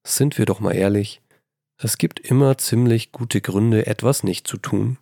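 A man hosts his solo writing podcast, a little hoarse from a cold, his voice low and full of gravel. He talks in a chatty, self-deprecating way with lively emphasis, holding the mic in his hand. The sound is dry and close, with no room echo. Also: a little slow. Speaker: podcast host. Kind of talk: casual solo podcast talk. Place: old childhood bedroom at his mother's house. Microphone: handheld microphone.

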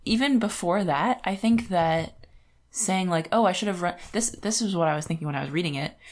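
Female podcast co-host, low-pitched, informal and conversational, casual book-club discussion. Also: brisk.

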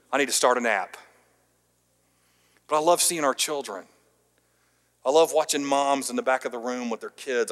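A pastor preaching a sermon, with emphatic rising peaks, quiet dropped line endings and some light self-deprecating humor. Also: male voice, mid-range voice. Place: church sanctuary. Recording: long hall reverb.

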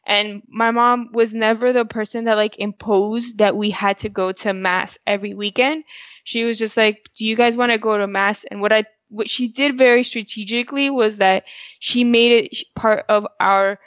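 A woman co-hosting a podcast, Spanish her first language, recalling things in a reflective, halting way. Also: fairly high pitch, fairly even intonation, a bit bright, no room sound. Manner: reflective, with frequent restarts. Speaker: co-host. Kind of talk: casual podcast conversation. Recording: dull, dry podcast audio.

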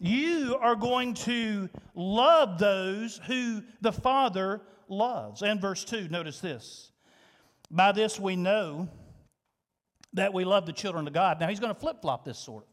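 A man preaching a sermon as pastor, swinging between loud emphasis and hushed asides. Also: high voice, lively intonation, takes it slowly. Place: church sanctuary. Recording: long reverb of a church hall.

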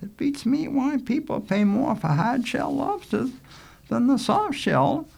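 A man voicing a soft, sympathetic lady schoolteacher who speaks properly and gently in a storybook reading. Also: extremely high pitch, sing-song, measured pace, gravelly, pitched above the performer's own voice, full character voice. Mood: pleased.

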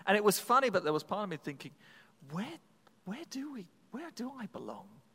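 A man's voice: sits high, big pitch swings, fairly quick, fairly bright tone, breathy edge, in a large hall. A man preaching, telling a personal story in a conversational, self-deprecating way with frequent restarts. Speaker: pastor. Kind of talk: sermon storytelling.

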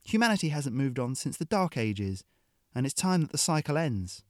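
A man voicing a big, brutal female mercenary who sneers and taunts, in a high put-on voice. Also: big pitch swings, normal speed, no echo, studio quality, close to an everyday voice. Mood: contemptuous.

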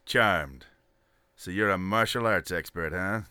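A man's voice: low-pitched, unhurried, sharp tone, smooth, no echo, clean studio sound, exaggerated voice. A man playing a cocky, wisecracking adventurer hero with a brash manner in a comedy audio drama. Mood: challenging, cool. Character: cocky, quippy adventurer hero, easily bought.

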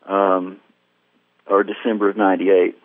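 A man's voice: low-pitched, flat delivery, measured pace, no echo. A man tells a long story on a tinny phone line, rambling and self-deprecating. He acts out what people said along the way. The sound is dry and close. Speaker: guest musician. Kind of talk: casual storytelling interview.

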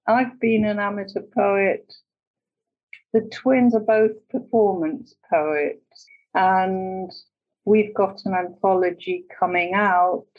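Slow-talking woman on dull audio. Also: mid-range voice. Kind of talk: casual conversation.

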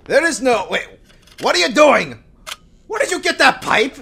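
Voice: sits high, very animated, moderate pace, gravelly, sharp tone, a little hiss or hum, heavily acted. An actor playing a pompous, menacing omniscient narrator in an audio comedy drama, portentous and melodramatic, and easily flustered. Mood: exasperated, commanding. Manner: portentous, melodramatic, dragging out grim pronouncements. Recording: music behind the voice.

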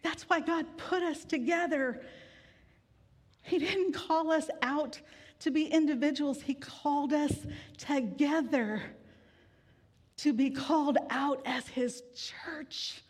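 High-voiced woman preaching in echoing hall. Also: slow pace. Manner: warm conversational preaching with gentle humor. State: grieving, tired. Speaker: pastor. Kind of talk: sermon. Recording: long hall reverb.

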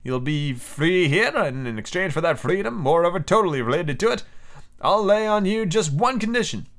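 A cold, commanding middle-aged ship captain, as a man reading aloud puts him on. He speaks in a formal, unbending way, sitting higher than the reader's own voice. His words come measured and distant. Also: expressive, fairly bright tone. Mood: stern.

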